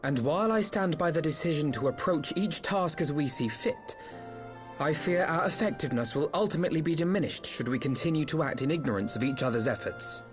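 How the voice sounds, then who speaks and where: An actor playing an earnest young noble boy leader in a video game, speaking with formal, measured, eloquent diction. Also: a little high, expressive, faint music behind, lightly acted.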